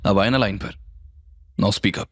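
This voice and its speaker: A man playing a cold, controlling businessman in an audio drama, measured and mocking, slipping English phrases into his speech. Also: fairly low pitch, steady tone, no echo, clean studio sound, pitched below the performer's own voice. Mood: menacing calm. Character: cold, sarcastic, controlling businessman with dangerous calm. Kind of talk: dramatised audio series reading. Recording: music bed under the voice.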